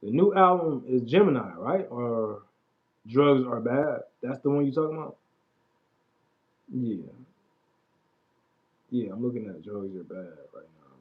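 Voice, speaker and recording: A man's voice: medium pitch, expressive, unhurried, long pauses. A man in his late twenties chatting casually on a livestream about music, laid back and slangy, trailing off into mumbled asides. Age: 20s. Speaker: livestream host. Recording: dull lo-fi stream audio.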